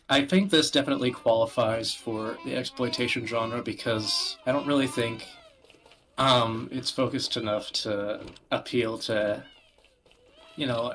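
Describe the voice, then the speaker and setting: A man giving casual podcast commentary, explaining things in a wordy, halting way. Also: mid-range voice, a bit bright, slight background noise. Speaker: podcast host presenting video games. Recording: music playing behind the voice. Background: background music.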